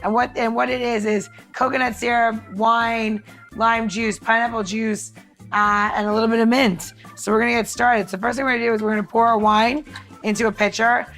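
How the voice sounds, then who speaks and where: A woman's voice: fairly high pitch, steady tone, fairly quick, a bit bright, faint music behind. A woman podcast host talking casually and informally in an upbeat way, peppered with "like" and "you know". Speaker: podcast co-host presenting cocktail recipes. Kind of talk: casual chatty recipe walkthrough.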